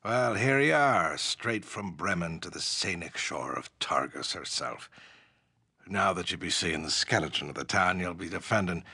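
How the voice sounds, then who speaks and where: A rough, wry fantasy-game port local, with a very deep, gravelly voice. His folksy phrasing comes through husky, in a dry recording. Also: lively intonation, normal speed, bright, forceful tone, no echo, exaggerated voice. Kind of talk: fantasy video game character acting.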